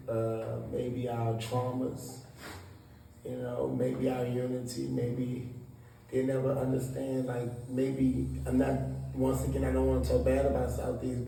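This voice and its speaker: A man's voice: medium pitch, vocal fry, warm tone, distant mic. A man from Southeast Washington, D.C. answering interview questions earnestly, often restarting his words as he searches for them. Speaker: interview guest. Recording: echoey room, voice a bit off-mic, faint noise.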